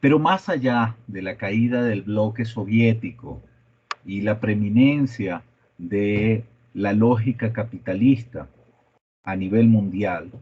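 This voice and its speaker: A man who is a native Spanish speaker giving a guest economics lecture in a measured way, with hesitant fillers. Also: fairly low pitch, darkish tone, breathy edge, dull audio. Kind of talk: lecture presentation.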